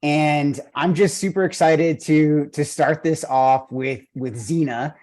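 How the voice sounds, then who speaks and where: A man's voice: a little high, steady tone, slow, a little mellow. A man with an Argentinian accent, hosting a running coaching podcast and interviewing an athlete in an enthusiastic, rambling way with many fillers. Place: video call.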